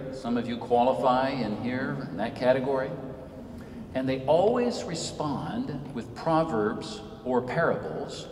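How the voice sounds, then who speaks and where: A man in his fifties gives an earnest lecture from a podium, his even-pitched voice carrying through a long church reverb. The mic is some distance away and a faint hiss sits under him. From Senegal. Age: 50s. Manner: measured, earnest, rises and falls for emphasis. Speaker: guest speaker, author. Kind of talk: public lecture. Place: large church sanctuary. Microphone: podium mic, recorded from far off.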